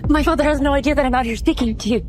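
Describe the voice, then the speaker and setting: A woman's voice: sits high, very fast, natural voice. An actress playing a neglected, bold young noblewoman desperate to escape, in an audio drama with music underneath. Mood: earnest, pleading. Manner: pointed, determined.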